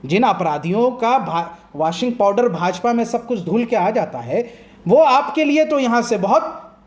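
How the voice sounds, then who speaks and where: Guy w a really high voice going off in a tv debate, fiery, keeps throwing questions back. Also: lively intonation, unhurried, darkish tone. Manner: impassioned, combative, rhetorical questions. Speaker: political commentator on a TV debate. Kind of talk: heated TV debate argument.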